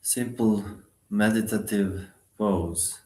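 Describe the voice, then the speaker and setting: A man leading a guided meditation, giving instructions in short, sparse phrases with long pauses between them. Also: on the low side, a little mellow, roomy sound.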